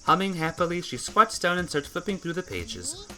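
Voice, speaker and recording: Bright-voiced, expressive game playthrough reader. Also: mid-range voice, moderate pace. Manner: stumbles over words and corrects himself or herself. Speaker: gamer reading a visual novel aloud. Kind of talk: game playthrough narration, reading aloud with character voices.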